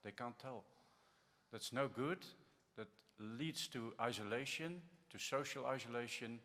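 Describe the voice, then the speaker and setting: A man's voice: medium pitch, measured pace, a bit bright. Dutch-accented male presenter, earnest storyteller, hall echo. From Netherlands. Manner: earnest, vivid storyteller with restarts. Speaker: conference speaker. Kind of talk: storytelling keynote pitch. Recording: reverberant conference hall.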